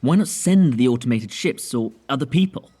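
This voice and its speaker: A man's voice: medium pitch, lively intonation, moderate pace, mellow, slightly rough. A man playing a reluctant crew member in a sci-fi audio drama, questioning and protesting.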